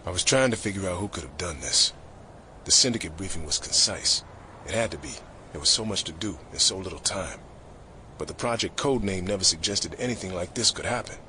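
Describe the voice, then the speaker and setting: A man's voice: low voice, animated, brisk, pushed and bright, a little hiss or hum, heavily acted. A man playing a grim, weary government fixer who tells his story in terse, hard-boiled narration for an audio drama.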